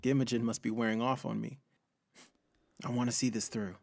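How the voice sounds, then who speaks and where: A man acting as a thoughtful young adventurer in a fantasy tale, gentle and understated. His mid-pitched voice has a creaky edge and a dry, close sound. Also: little pitch movement, brisk, no room sound. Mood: quietly resolved. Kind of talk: fantasy audio story acting.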